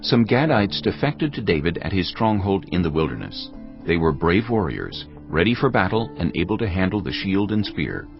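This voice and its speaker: A man narrating scripture from a script in a measured, solemn manner. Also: sits low, very animated, vocal fry, lo-fi sound. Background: background music.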